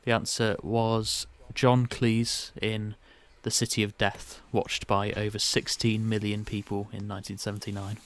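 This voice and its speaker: Low-pitched man, dry close sound. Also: level tone, a little slow, hardly any pauses, no room sound. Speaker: podcast co-host and quizmaster. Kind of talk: reading out quiz questions, casual banter.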